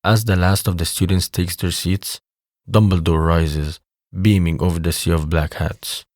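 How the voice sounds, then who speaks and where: A man's voice: low-pitched, little pitch movement, normal speed, darkish tone, no room sound, studio quality. A man reading scripted narration for an audio drama as its narrator.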